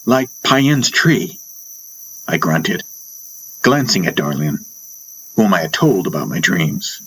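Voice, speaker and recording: A man narrating a first-person fantasy audiobook in deliberate, dramatic short phrases. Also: a bass voice, expressive, pushed and bright, a little hiss or hum, rough recording. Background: music.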